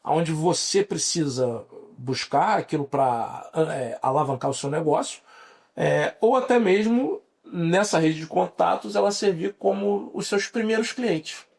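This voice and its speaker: A man's voice: on the high side, lively intonation, measured pace, gravelly, darkish tone, husky. A Brazilian man in his forties, a lawyer guest on a podcast, speaking with a Brazilian Portuguese accent and explaining at length with frequent restarts. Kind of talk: podcast interview.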